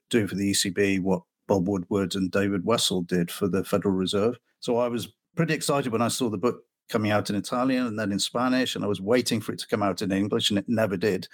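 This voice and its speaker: A low-pitched man hosts a podcast interview, asking questions in an easy, inquisitive manner. His voice is recorded close and dry. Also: no echo. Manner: inquisitive, conversational.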